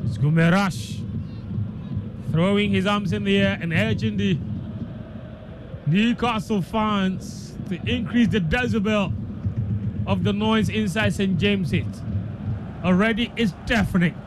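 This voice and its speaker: A man's voice: high-pitched, unhurried, pauses often. A man giving live football commentary on the radio. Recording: clear background noise, stadium ambience.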